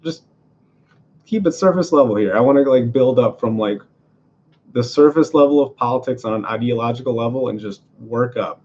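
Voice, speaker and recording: A man's voice: medium pitch, moderate pace, a little mellow, highs cut off. A man from Venezuela talking casually about politics as a podcast host, rambling through many fillers and restarts in his apartment.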